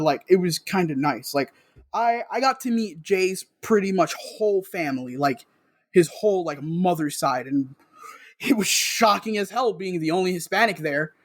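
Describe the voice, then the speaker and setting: A young man with a fairly high voice, recorded close and dry. He talks casually and informally as an interview guest, sharing stories in an animated, slangy way. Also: normal speed, no room sound. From South Bronx, New York. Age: young adult. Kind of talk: casual conversational storytelling.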